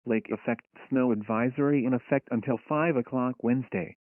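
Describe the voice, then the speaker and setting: A man's voice: mid-range voice, no room sound. Tinny synthetic male weather radio announcer. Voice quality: synthetic text-to-speech voice. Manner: formal, impersonal, measured announcing. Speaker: automated weather alert announcer. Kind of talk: scripted emergency weather bulletin narration.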